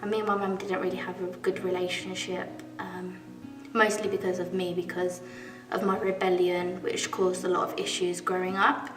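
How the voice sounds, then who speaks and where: Soft-spoken young woman, roomy hall. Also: on the low side, completely flat delivery, normal speed. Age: 20s. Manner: open and reflective, soft-spoken. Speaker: church member giving testimony. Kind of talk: testimony interview. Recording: echoey hall, mic far off, music behind.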